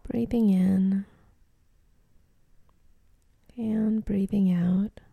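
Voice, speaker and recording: A woman guides a meditation slowly and gently, leaving long pauses. Her voice is soft and breathy, often almost whispered, with some creak at the edges. The sound is close and dry, soothing throughout. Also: medium pitch, fairly even intonation, no room sound.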